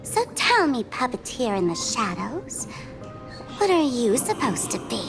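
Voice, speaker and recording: A woman's voice: a little high, big pitch swings, unhurried. A woman voicing a sardonic, weary young female trickster in an anime game, dramatic and given to sighs, with music behind her. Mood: wry, challenging. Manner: sighing, dramatic, sarcastic.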